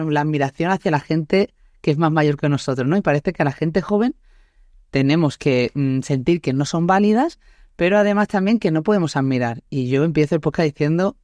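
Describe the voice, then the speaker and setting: A woman's voice: deep voice, a little mellow, no room sound, clean studio sound. A woman in her thirties with an Andalusian accent from Málaga, hosting a podcast in a chatty, laughing way full of tag questions. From Andalusia, Spain. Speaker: podcast host. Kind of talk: casual chat.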